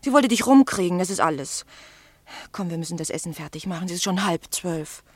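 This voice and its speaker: Husky, insistent wife, close and dry. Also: female voice, fairly low pitch, animated, brisk, no room sound. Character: practical, insistent wife of a snack bar owner. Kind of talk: German radio drama acting.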